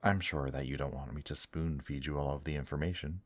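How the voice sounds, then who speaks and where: A man voicing a suave demon host in an intimate ASMR roleplay, courtly and gently teasing, addressing the listener with pet names. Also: a bass voice, steady tone, fairly quick. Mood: smooth, suggestive.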